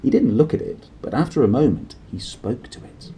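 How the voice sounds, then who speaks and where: A man narrating a children's storybook aloud in an expressive style. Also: mid-range voice, brisk. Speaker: storybook reader.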